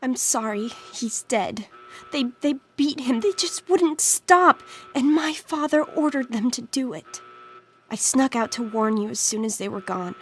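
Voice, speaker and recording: A woman's voice: a little high, animated, measured pace, slight background noise. A woman playing an anxious, loyal teenage girl in a video game, earnest and pleading. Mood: grieving, hushed. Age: young adult. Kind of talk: video game dialogue acting.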